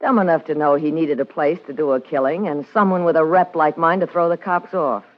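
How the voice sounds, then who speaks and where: A woman's voice: low-pitched, brisk, natural voice. An actress with an American accent playing a hard-boiled, wisecracking party girl and blackmailer in a vintage radio drama, with a tough, sardonic manner. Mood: tough, defiant. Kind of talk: 1950s radio noir acting, narration and dialogue. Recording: muffled vintage radio recording with music.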